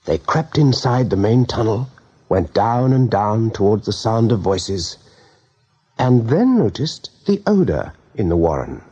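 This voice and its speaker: A man's voice: on the low side, sing-song, unhurried, warm tone, slightly rough, full character voice. A man narrating a radio drama as a grave, dramatic storyteller building suspense. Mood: grave, uneasy. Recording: dull band-limited radio recording.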